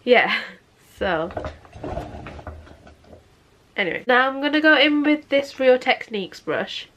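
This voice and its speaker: A woman's voice: sits high, animated, slow pace, a bit bright. A woman, sniffly, talking through a makeup tutorial in a casual, chatty way from her bedroom. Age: 20s. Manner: chatty, keeps saying "obviously". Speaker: makeup vlogger. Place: bedroom, sitting on a bed.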